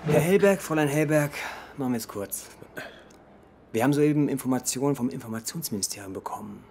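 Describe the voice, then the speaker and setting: A man's voice: medium pitch, lively intonation, quick pace, a little mellow, a little hiss or hum, natural voice. A man playing a curt army colonel. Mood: formal. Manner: formal, clipped bureaucratic. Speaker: Egyptian colonel dismissing staff. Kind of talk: radio drama acting.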